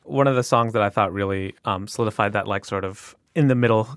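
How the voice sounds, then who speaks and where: Man, podcast host, lowish voice, dry booth, chatty, lots of fillers. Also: quick pace, clean tone, no echo. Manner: enthusiastic, uses many fillers. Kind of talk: casual interview. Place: studio.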